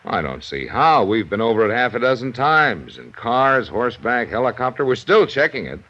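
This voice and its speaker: An actor playing a dry-witted, skeptical American police lieutenant in a 1950s radio crime drama, plain-spoken and gruff. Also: male voice, mid-range voice, big pitch swings, moderate pace, fairly bright tone. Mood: skeptical, weary. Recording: dull vintage radio recording.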